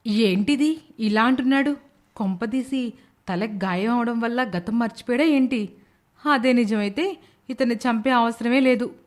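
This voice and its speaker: Woman playing nurse accomplice, slightly high voice, uneasy, dramatic, animated story. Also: moderate pace, close to an everyday voice. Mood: puzzled. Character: nurse, the schemer's uneasy but complicit woman friend. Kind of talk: animated moral story voice acting.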